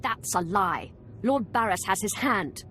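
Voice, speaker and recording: A woman's voice: medium pitch, lively intonation, unhurried, a bit bright, soft background music. An actress playing a proud, vengeful young Sith woman in a video game cutscene, haughty and cutting. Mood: scornful.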